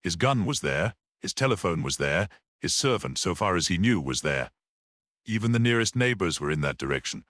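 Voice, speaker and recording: An earnest priest-detective played by a male narrator in a mystery audiobook. He explains with rhetorical fervour and a very lively, swinging pitch. The sound is close and dry. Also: normal speed, no echo. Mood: reflective, assured. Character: earnest priest-detective, gentle yet explosively passionate. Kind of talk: dramatic audiobook character reading.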